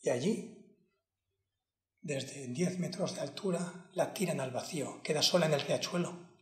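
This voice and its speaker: An impassioned male defense lawyer, played by a man addresses a jury in a dramatic monologue. His voice sits a little high and moves very slowly, each short phrase set down with solemn emphasis. The room around him rings with clear echo. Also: lightly acted. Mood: grave, anguished. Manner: slow, deliberate, emphatic, broken into short phrases. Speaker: acting student. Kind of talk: dramatic courtroom monologue, acted to camera. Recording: echoey room.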